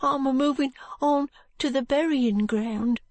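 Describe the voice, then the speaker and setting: A woman voicing a frightened, pitiful ragged street boy with a high, cracked, tearful voice and slurred street speech. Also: unhurried, no echo. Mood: pleading.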